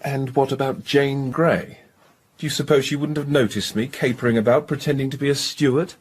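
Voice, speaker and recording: A man's voice: medium pitch, fairly even intonation, fairly quick, breathy edge, natural voice. An actor playing a defiant man accused of murder in a radio drama, sardonic and indignant in his speech. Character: accused male murderer, a dentist, scornful and defiant.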